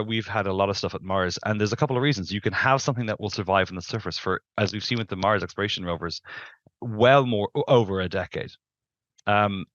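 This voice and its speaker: A man's voice: fairly low pitch, fairly bright tone, no room sound, highs cut off. A middle-aged man explaining things as an expert interview guest, emphatic and discursive, on a headset in a video call. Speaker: planetary scientist, interview guest.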